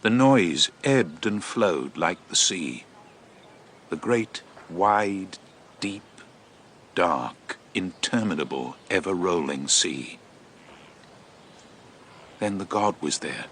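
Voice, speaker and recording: An actor playing a shaken eyewitness messenger from ancient Greece in a radio drama, telling of horror in an intense, deliberate, theatrical monologue. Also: male voice, sits low, expressive, fairly bright tone, slight background noise, heavily acted. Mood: grim, haunted.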